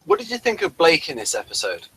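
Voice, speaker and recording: A man hosting a casual review chat, explaining things in a halting way and often restarting mid-thought. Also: a little high, huge pitch swings, a crowd in the background, poor audio. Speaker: podcast host.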